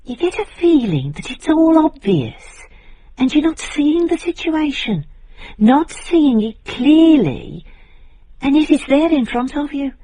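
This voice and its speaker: An actress playing a watchful, brooding narrator, delivering literary drama monologue in incantatory short phrases. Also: female voice, on the high side, a little mellow, husky, full character voice, poor audio. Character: brooding, watchful female narrator of a bleak city.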